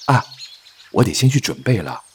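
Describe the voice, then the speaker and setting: A man's voice: on the low side, sing-song, moderate pace, a little hiss or hum. A man playing an affable, dismissive doctor and older brother in a Mandarin radio drama, easygoing and soothing. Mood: reassuring, dismissive.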